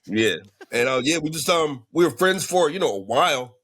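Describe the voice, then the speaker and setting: A man, a rapper, talking in a laid-back, slow way with many fillers in a casual interview. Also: on the high side, expressive, a bit bright, slight background noise.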